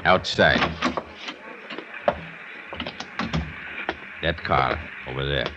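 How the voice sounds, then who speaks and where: Very deep-voiced menacing gunman. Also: normal speed. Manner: stiff, formally polite, uncontracted threats. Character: menacing, curt foreign male gunman. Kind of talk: 1950s radio drama acting. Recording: noisy, muffled 1950s radio recording.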